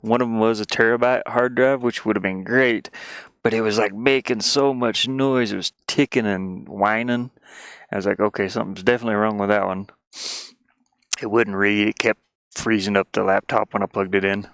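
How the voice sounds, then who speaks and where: A man with a low voice and a gravelly creak talks as a streamer in casual chat. He rambles and thinks out loud. The sound is dry and close, with little room around it. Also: fairly even intonation, moderate pace, no echo. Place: inside a van he lives in.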